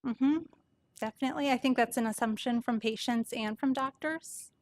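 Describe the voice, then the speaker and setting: A soft-voiced woman doctor gives an informal medical presentation to an audience, explaining things conversationally. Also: fairly high pitch, normal speed. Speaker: palliative care doctor presenting.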